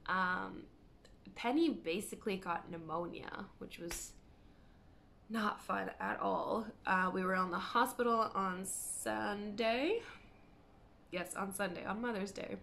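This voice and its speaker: Chatty woman, slow and gravelly. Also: mid-range voice, fairly bright tone, a little hiss or hum. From Canada. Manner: chatty, meandering, self-correcting. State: hoarse with a cough from a cold. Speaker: knitting podcast host. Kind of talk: casual chat to camera. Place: living room by a window, indoors.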